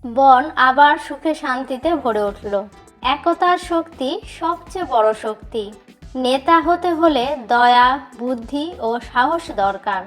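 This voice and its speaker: A woman narrating a children's cartoon story with a gentle, instructive delivery. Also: high voice, lively intonation, slow pace. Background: background music.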